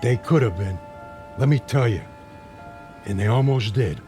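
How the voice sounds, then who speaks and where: An older actor playing a talkative former ballplayer who rambles through an old story, in a radio drama. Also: male voice, medium pitch, expressive, moderate pace, dark tone, soft background music, natural voice. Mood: reminiscing.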